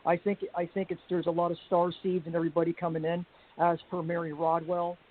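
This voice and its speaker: A woman with a deep voice giving a rambling personal account on a group call, halting with frequent restarts and fillers. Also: level tone. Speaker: panel guest. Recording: tinny phone-like line with faint hiss.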